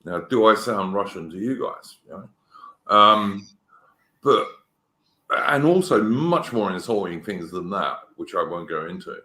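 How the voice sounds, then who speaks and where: A man's voice: lowish voice, animated, measured pace, sharp tone. A man co-hosting a casual political chat, opinionated and rambling, often restarting his sentences. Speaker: podcast co-host. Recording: echoey room.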